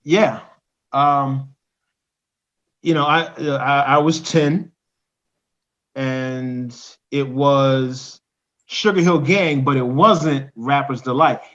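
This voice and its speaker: A man, a rapper and poet, talking casually on a video call, pausing often with ums and restarts. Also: medium pitch. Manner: halting, many ums, animated when quoting lyrics. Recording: dull lo-fi call audio.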